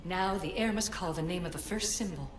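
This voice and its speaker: A woman playing a solemn, mystical guide in a video game, speaking in a measured, ceremonial, cryptic way. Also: on the low side, a little hiss or hum, close to an everyday voice. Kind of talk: video game cutscene acting. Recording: echoing voice over music.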